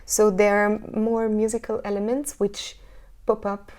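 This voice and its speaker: Thoughtful Hungarian woman, flat mellow voice. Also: medium pitch, unhurried. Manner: thoughtful, searching for words, frequent restarts. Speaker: singer, interview guest. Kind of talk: casual interview talk.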